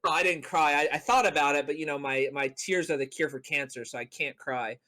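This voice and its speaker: A man's voice: a little high, brisk, pushed and bright. A man chatting casually as a podcast co-host, telling stories in a rambling way with playful mock boasting. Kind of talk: casual chat on a gaming podcast.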